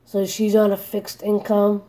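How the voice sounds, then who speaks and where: A woman in her forties speaks slowly in a flat, low-key voice with a dark, mellow tone. She talks candidly to her vlog camera, earnest and a little worried, often restarting her sentences and leaning on "you know.". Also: rough recording. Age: 40s. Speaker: vlogger.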